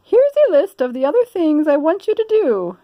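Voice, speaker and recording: A woman's voice: high voice, very animated, moderate pace, no room sound, dull audio, pitched above the performer's own voice. A woman voicing a bossy lady of the house in an exaggerated, instructing manner for a storybook.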